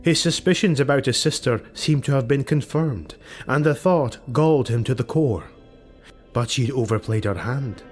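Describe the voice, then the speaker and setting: A man's voice: mid-range voice, darkish tone. A man reading dramatic scripted fantasy narration as an audiobook narrator.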